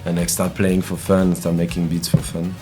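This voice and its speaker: A man's voice: low voice, completely flat delivery, moderate pace. A young man from the south of France, chatting casually as a musician in an interview. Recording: music playing behind the talk.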